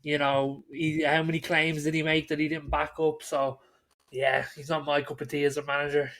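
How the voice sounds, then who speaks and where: Blunt, higher-voiced man talking football. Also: flat delivery, normal speed, fairly bright tone. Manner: blunt, opinionated. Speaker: football podcast co-host. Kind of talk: casual football discussion.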